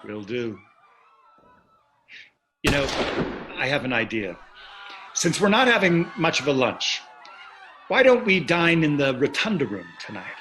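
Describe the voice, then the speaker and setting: A man's voice: mid-range voice, sing-song, a little slow, long pauses, background noise. A man acting a wealthy, breezy, self-satisfied husband in a play reading, with a chatty delivery. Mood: cheerful, teasing. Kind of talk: staged play reading over video call.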